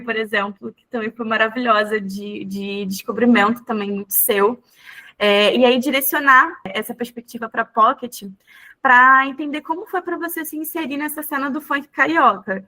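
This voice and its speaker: This is a Brazilian woman with a fairly high, very bright voice. She hosts in a casual, conversational style. She often repeats words haltingly as she thinks aloud. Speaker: podcast host, interviewer.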